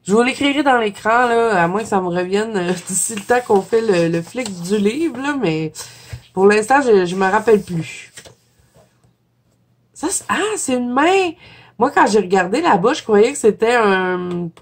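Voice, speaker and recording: A woman's voice: mid-range voice, expressive, normal speed. Chatty Québécois woman with a cold. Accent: Québécois French. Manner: chatty with frequent "euh" fillers and soft giggles. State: stuffy from a cold. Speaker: coloring vlogger. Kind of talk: casual chat flipping through a coloring book.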